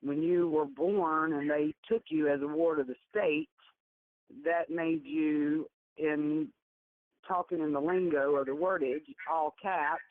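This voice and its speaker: A woman's voice: low-pitched, fairly even intonation, unhurried. Woman on a tinny phone line. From Georgia, United States. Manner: emphatic, repetitive. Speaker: conference call moderator. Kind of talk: explanatory conference call talk. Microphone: telephone.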